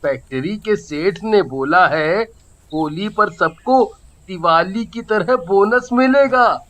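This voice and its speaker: A man playing a poor, humble middle-aged father in a moral story, gentle, pleading and sorrowful. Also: sits high, takes it slowly. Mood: affectionate. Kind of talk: Hindi moral story dramatization.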